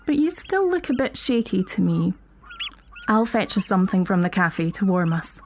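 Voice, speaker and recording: Scottish woman, drama role, wry supportive teacher, frank, dry humour, mid pitch, noisy backdrop. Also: sing-song, moderate pace, darkish tone. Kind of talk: audio drama acting.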